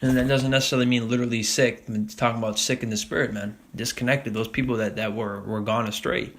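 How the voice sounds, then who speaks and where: Man, religious teacher, casual sermon, slow deliberate scripture reading, conversational asides. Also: medium pitch, steady tone, fairly bright tone. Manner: casual preaching with frequent "man" and "all right?"; reads scripture slowly and deliberately. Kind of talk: Bible study sermon.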